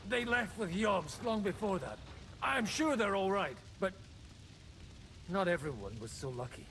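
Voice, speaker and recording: A grave, loyal medieval nobleman who counsels caution. The voice is rather high and very lively in intonation, with a solemn game-drama delivery over faint noise. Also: unhurried, exaggerated voice. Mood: grave, sorrowful. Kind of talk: dramatic video game dialogue.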